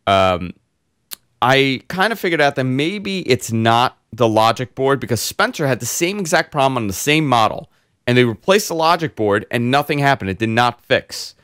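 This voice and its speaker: A man hosting a casual tech podcast, hoarse and congested, telling long rambling stories full of fillers. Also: medium pitch, normal speed, no echo, studio quality. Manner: rambling, animated storyteller with many fillers. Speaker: host.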